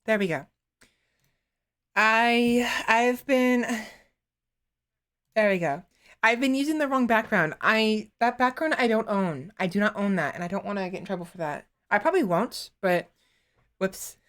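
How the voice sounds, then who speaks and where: A woman's voice: mid-range voice, animated, fairly bright tone. Rambling woman streamer chatting casually. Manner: rambling, halting, with frequent restarts; self-deprecating. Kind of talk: casual live-stream chat. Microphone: desktop microphone.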